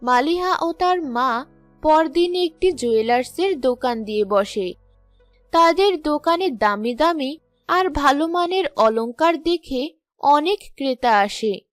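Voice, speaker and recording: A woman's voice: high-pitched, expressive, unhurried, no room sound, clean studio sound, soft background music. A woman reading scripted narration for a children's moral story.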